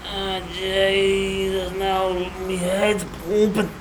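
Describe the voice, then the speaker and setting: An actor plays a cowardly, evasive street chancer with a Dublin accent. The speech is slow, colloquial and wheedling, as in a dramatic sketch. It sounds echoey, with clear noise around it. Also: mid-range voice, flat delivery. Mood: panicked. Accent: Irish, Dublin. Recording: echoey, noisy recording with ambience.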